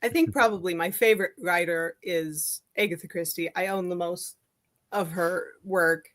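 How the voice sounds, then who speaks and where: Female interview guest. Slightly low register, informal, tentative, thinking as she speaks. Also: normal speed. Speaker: guest writer. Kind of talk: casual interview chat.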